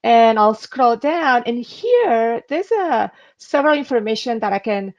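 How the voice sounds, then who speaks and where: Gravelly-voiced woman. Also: on the high side, big pitch swings, measured pace. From Spain. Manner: earnest, advisory, frequent hesitations. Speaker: interpreter giving a webinar. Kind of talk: instructional webinar talk with screen demo. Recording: dull lo-fi webinar audio.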